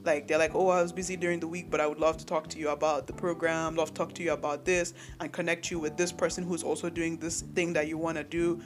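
A man's voice: on the high side, flat delivery, fast talker, few pauses, over music. A young man from Nigeria hosting a solo podcast, talking in a rambling, candid way, often restarting his sentences and laughing. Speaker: podcast host.

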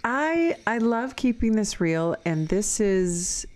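A woman's voice: mid-range voice, big pitch swings, slow pace, a little mellow. An older woman with a Minnesota accent, co-hosting a casual podcast, rambling earnestly and laughing often. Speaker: podcast co-host. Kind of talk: casual chat.

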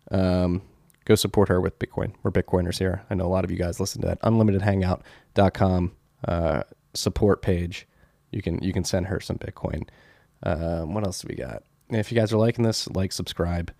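A man's voice: deep voice, gravelly, darkish tone, no echo, clean studio sound. A young man hosting a casual podcast conversation, plain-spoken and a little wry. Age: young adult. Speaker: podcast host.

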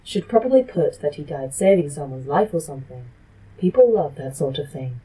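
A woman playing a determined, commanding survivor in an audio drama, speaking firmly and persuasively in a dark, husky voice. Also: sits low, lively intonation, brisk, heavily acted, low-quality recording. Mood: dry. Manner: firm, urgent, persuasive. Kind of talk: audio fiction podcast acting.

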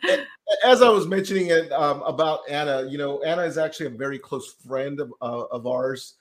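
A man's voice: on the high side, brisk. A man hosting a casual podcast interview as a tax debt consultant, talking in a rambling way full of fillers and restarts.

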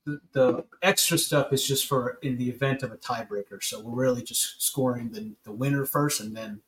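A man's voice: medium pitch, moderate pace, a bit bright, roomy sound, low-quality recording. A man hosting a casual sports chat, blunt, joking and swearing freely. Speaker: host.

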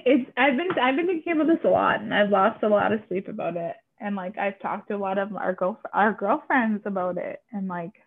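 A woman's voice: medium pitch, brisk, vocal fry, hardly any pauses. A woman co-hosting a podcast, speaking earnestly and with feeling, filling her talk with "like". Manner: impassioned, many "like" fillers. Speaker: podcast co-host. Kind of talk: earnest casual conversation. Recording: tinny phone-like line.

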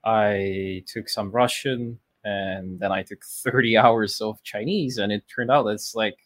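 A man's voice: lowish voice, steady tone, measured pace, dry recording. A thirty-year-old man from Poland whose first language is Polish, chatting casually as a podcast guest, rambling with fillers and restarts. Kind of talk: casual interview chat.

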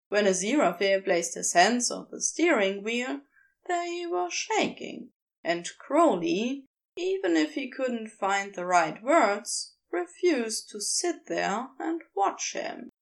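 A woman's voice: a little high, creaky. A woman narrating scripted fiction expressively, as for an audiobook.

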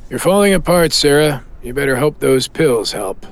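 A gravelly, mocking ghost. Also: male voice, on the high side, lively intonation, normal speed, soft background music. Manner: mocking, insistent, theatrical. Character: taunting, cryptic ghost of a dead man. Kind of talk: audio drama acting.

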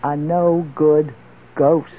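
An actress playing an elderly jilted wife, wistful, tipsy and resolute, in a 1970s radio drama. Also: female voice, deep voice, little pitch movement, very slow, narrow, tinny audio. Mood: earnest, tipsy. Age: older. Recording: band-limited old radio drama recording.

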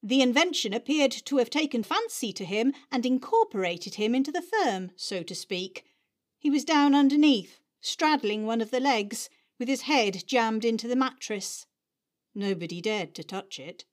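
A woman giving a scripted dramatic reading of literary prose, with theatrical, emphatic delivery and deliberate phrasing. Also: a little high, lively intonation. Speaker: host and reader of dramatic readings.

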